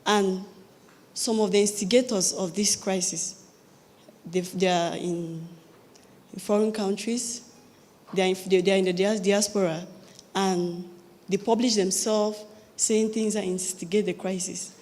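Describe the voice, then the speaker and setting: A young woman with a Cameroonian accent addresses a panel as a student in the audience. Her voice sits at an average pitch and carries a long hall reverb. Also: fairly even intonation, unhurried, long pauses, a little mellow. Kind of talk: formal question from the floor. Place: large conference hall, public address.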